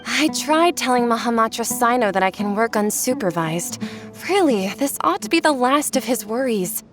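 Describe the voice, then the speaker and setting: A woman's voice: fairly high pitch, animated, normal speed, over music, close to an everyday voice. A woman playing a polite, modest, dedicated teacher with an earnest, apologetic manner in a video game.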